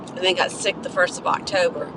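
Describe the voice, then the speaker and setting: A hoarse middle-aged woman chatting casually with her viewers during a live stream while driving. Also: fairly low pitch, monotone, brisk. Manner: chatty, coughing between remarks. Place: inside a moving car. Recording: road noise from a moving car.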